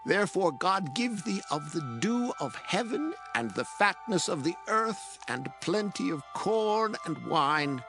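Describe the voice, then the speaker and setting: A man's voice: high-pitched, lively intonation, unhurried, gravelly, hardly any pauses, music in the background, heavily acted. An actor playing an aged, solemn patriarch father in a dramatized scripture reading, speaking with emotion. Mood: solemn blessing. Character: aged patriarch father, solemn, trembling, blessing his son.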